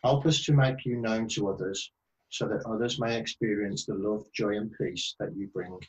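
A man's voice: on the low side, fairly even intonation, normal speed, rough recording. A man in his fifties reading out a prayer into a webcam at home. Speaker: church member leading prayer. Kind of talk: read-out prayer. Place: bedroom-like room at home. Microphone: webcam at desk distance.

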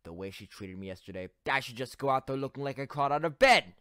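A woman voicing a cocky, self-conscious young male unicorn squire in an animated web series, with a wry, drawling manner. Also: extremely low pitch, fast talker. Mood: smug, relaxed.

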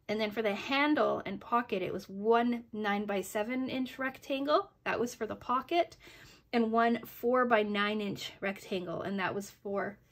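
Slightly hoarse woman chatting through a review. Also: medium pitch, a little slow. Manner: hesitant, chatty, trailing off and checking with "right?". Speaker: sewing pattern reviewer. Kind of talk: casual review narration over project photos.

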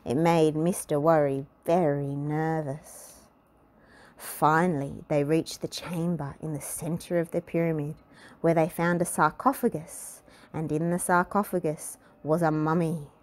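A woman reading a children's storybook aloud in an expressive, deliberate way. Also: low voice. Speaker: storybook reader. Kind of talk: scripted children's storybook narration.

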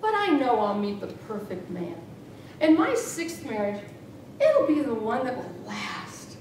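A middle-aged woman giving a humorous tall-tale speech with theatrical, deliberate delivery and dramatic emphasis. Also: wildly swooping pitch, darkish tone. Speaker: contest speaker. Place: small meeting room with rows of chairs. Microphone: far camera. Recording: echoey room from far off, noisy rumble.

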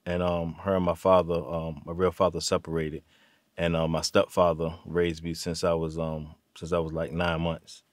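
A man, from Virginia, talking as an interview guest in a halting way full of "um"s and restarts. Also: deep voice, level tone, no echo, studio quality. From Virginia, USA. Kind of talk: casual interview. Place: small studio room.

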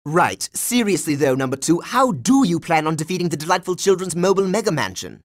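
A man's voice: on the high side, very animated, brisk. A man voicing an earnest, commanding boy team leader in a cartoon video game. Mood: serious.

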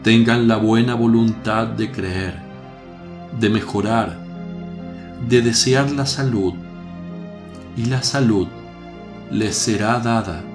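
A man playing a solemn male preacher addressing a synagogue in a religious radio drama, speaking in measured declamation. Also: lowish voice. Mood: solemn proclaiming. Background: music.

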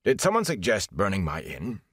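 A man voicing a calm, stern village mayor who speaks softly and rumbles. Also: moderate pace, dry recording, clean studio sound. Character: calm, imposing male village mayor and innkeeper.

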